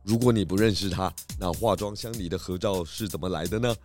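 A man playing a confident, clever detective in a children's radio drama, explaining his case deliberately. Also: deep voice, a little mellow, soft background music, natural voice. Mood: accusing. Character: confident, clever male detective unmasking culprits.

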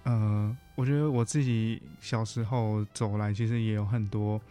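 A man with a Taiwanese Mandarin accent chatting casually as a guest counselor, haltingly and often correcting himself. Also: lowish voice, fairly even intonation, dark tone, soft background music. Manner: halting, self-correcting, frequent humming backchannels. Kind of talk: casual conversational interview.

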